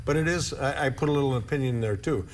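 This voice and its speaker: A man's voice: medium pitch, quick pace, a little hiss or hum. A man in his sixties, a blogger who has lived in Upper Michigan for decades, talks in a friendly, casual way as a guest in a TV studio, often repeating a word as he starts a sentence. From Upper Michigan, USA. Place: TV studio with couch set. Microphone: lapel mic.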